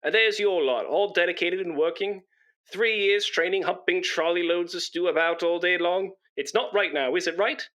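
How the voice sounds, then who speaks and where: An actor playing a pompous, nervous British chatterbox in a comic radio play, rambling and stammering when flustered. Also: male voice, high voice, normal speed, a bit bright. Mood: chatty, flustered. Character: pompous, nervous, chattering British man come to give blood. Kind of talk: comic radio play acting before an audience.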